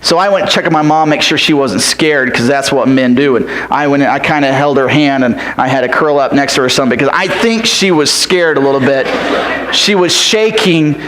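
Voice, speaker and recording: A man's voice: mid-range voice, fairly quick, a bit bright, slight background noise, close to an everyday voice, poor audio. A man voicing an eager, food-loving eight-year-old boy who tells his story breathlessly and whispers when he counts. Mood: excited, wondering. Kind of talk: character monologue storytelling.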